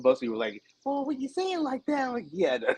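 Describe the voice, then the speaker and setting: A man's voice: very high-pitched, huge pitch swings, fast talker, a little mellow, slight background noise. A man hosting a casual conversation with a stranger outdoors at the beach, rambling, restarting his sentences and laughing often. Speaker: host interviewing a stranger.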